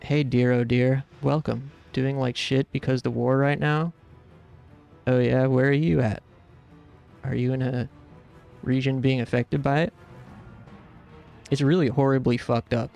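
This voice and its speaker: A man's voice: mid-range voice, little pitch movement, measured pace, creaky, pauses often, darkish tone, faint music behind. A man in his thirties from the United States, chatting casually and earnestly with stream viewers, rambling with lots of fillers. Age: 30s.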